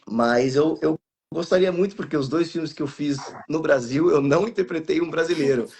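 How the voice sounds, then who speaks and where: A man's voice: a little high, normal speed, creaky, a little mellow. Brazilian man chatting with many restarts. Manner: rambling, with many restarts and fillers. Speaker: guest actor and voice actor. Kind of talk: casual interview over a live stream.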